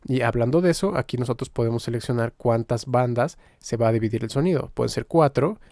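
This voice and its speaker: Mellow-voiced man giving a software tutorial. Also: medium pitch, fast talker, no room sound, studio quality. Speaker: music software tutorial instructor. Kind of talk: informal screen-recorded software tutorial.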